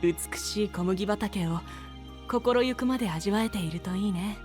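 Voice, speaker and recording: A man playing a gentle, considerate young knight in an anime-style voice drama, speaking softly and calmly with tender phrasing. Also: sits high, normal speed, soft background music, close to an everyday voice. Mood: hopeful. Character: gentle, soft-spoken boy knight, calm, considerate elder twin type.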